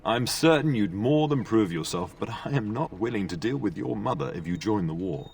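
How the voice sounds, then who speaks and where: Deep, expressive fatherly lord. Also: normal speed, gravelly, recorded from a distance. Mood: earnest, instructing. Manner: formal, fatherly. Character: commanding, fond middle-aged noble lord and father. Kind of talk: video game dialogue acting.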